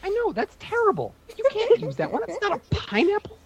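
A man's voice: very high-pitched, big pitch swings, a little fast, background noise. A man co-hosting a casual TV recap chat, joking with a playful show of indignation. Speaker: podcast co-host.

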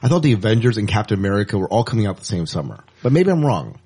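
Animated man with a slightly husky voice. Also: lowish voice, rapid-fire. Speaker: podcast co-host. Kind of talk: casual movie news discussion.

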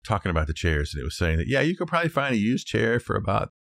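A man's voice: fairly low pitch, very animated, rapid-fire, creaky, a bit bright, dry recording. A man co-hosting a podcast, chatting casually and informally with wry asides. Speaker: podcast co-host. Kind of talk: casual conversational discussion.

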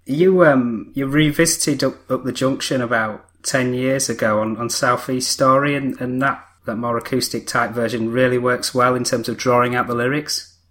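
A man interviewing a musician, asking questions in a halting way and repeating words as he starts. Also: mid-range voice, fairly even intonation, fairly bright tone.

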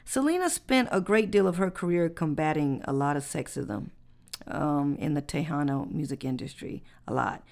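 A woman's voice: deep voice, expressive, normal speed, creaky, darkish tone. A woman hosting a casual podcast, reading out facts in a chatty, conversational way. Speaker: podcast host. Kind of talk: casual chat.

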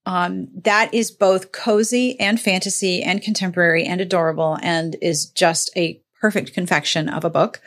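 A woman's voice: fairly low pitch, fairly even intonation, moderate pace, few pauses, fairly bright tone. A woman hosting a podcast, chatting casually about books in an emphatic, playfully declarative way. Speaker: podcast host. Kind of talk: casual book chat on a podcast.

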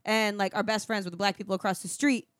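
A woman's voice: medium pitch, fairly even intonation, quick pace, fairly bright tone, no room sound, clean studio sound. A woman born in Puerto Rico hosting a casual podcast chat, talking animatedly with plenty of swearing and laughter. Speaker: podcast host. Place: small studio.

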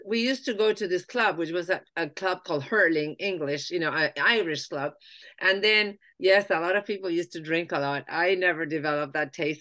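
A woman's voice: lowish voice, pushed and bright. A woman in her fifties from Argentina, speaking, chatting as a guest about her life story with lots of restarts and fillers. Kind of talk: conversational life-story interview.

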